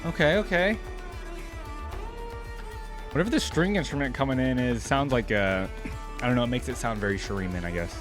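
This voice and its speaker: A man's voice: medium pitch, brisk, long pauses. A man hosting a game reaction video, giving casual live commentary and musing aloud in an easygoing way. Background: game music.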